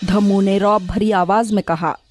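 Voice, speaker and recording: A woman's voice: mid-range voice, fairly even intonation, normal speed, darkish tone, faint music behind. A woman narrator reading a scripted moral story for children.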